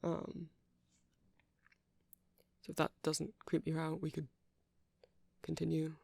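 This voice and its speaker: A soft-spoken man doing a rambling ASMR roleplay as a shopkeeper, hesitant and apologetic in manner. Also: on the high side, completely flat delivery, slow pace, gravelly, slightly rough, dry recording. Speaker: character performer playing a shopkeeper.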